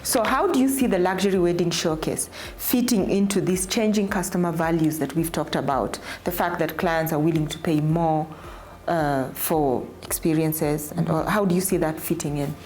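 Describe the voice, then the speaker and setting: A woman's voice: lowish voice, moderate pace, darkish tone. A woman interviewing a guest, asking leading questions and responding encouragingly.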